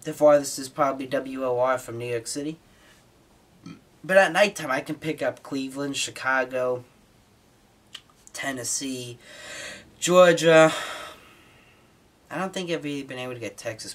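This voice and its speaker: An American man giving an informal, explanatory hobbyist talk, somewhat halting, with repeated words as he explains. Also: medium pitch. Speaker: hobbyist presenter.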